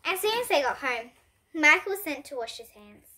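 A young girl with a high, bright voice reads a storybook aloud. Her delivery is expressive and deliberate, swinging widely in pitch and pausing for drama as she hosts the reading. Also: slight background noise. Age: child. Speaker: young host reading children's books aloud.

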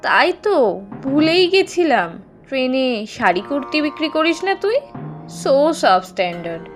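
A woman's voice: sits high, sing-song, measured pace, faint music behind. A woman voicing a snobbish, disdainful young college girl in a cartoon, with a haughty, mocking way of talking. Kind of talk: Bengali animated story dialogue.

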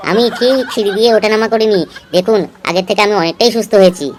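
A woman's voice: mid-range voice, quick pace, close to an everyday voice. A woman playing a chatty, naive, cheeky clinic patient in a comic cartoon, wordy and colloquial. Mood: grateful. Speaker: cartoon voice actor. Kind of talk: comic Bengali cartoon dialogue.

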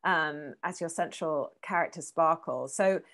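A woman's voice: deep voice, measured pace, a bit bright. A woman in her thirties asking conversational interview questions over a video call from a room at home.

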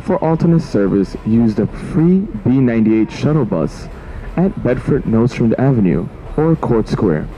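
A man's voice: mid-range voice, sing-song, a little slow, dark tone. A man reading a scripted transit announcement. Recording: dull, faintly noisy station capture.

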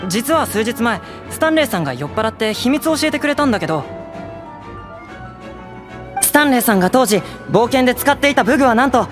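Eager young guy adventurer, anime game, swingy highish voice, music behind. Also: sing-song, fairly quick. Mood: earnest. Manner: earnest, admiring. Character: earnest young male novice adventurer, admiring and eager. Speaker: game character: novice adventurer. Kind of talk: Japanese anime game voice acting.

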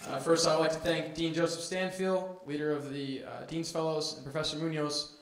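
Loud young man reading intros in a hall. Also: on the high side, flat delivery, a little fast, a little hiss or hum. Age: young adult. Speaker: student introducing speakers. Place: large lecture hall. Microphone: handheld microphone at a lectern. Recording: echoey lecture hall.

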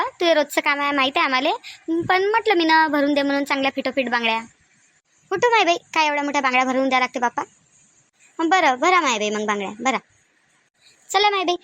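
Cartoon village auntie played by a woman: Varhadi Marathi accent, very high and bright, bossy and nagging. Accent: Varhadi Marathi of Vidarbha. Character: chatty, bossy village women at a wedding ritual. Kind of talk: cartoon comedy dialogue.

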